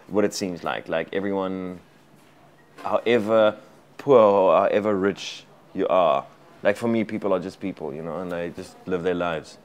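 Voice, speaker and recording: A man from South Africa speaks English with an Afrikaans accent, his voice sitting very low. He talks as an interview guest, energetic and rambling, often restarting his sentences. A faint noise sits under his voice. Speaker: actor and director guest. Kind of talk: casual interview chat.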